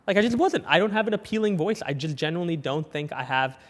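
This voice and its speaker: A young man with a lisp, talking casually as a guest in an interview, eager and quick with his replies. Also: a little high, expressive, long reverb tail. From Canada. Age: young adult. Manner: quick, eager, frequent "yep" and "yeah" backchannels. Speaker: guest, entrepreneur.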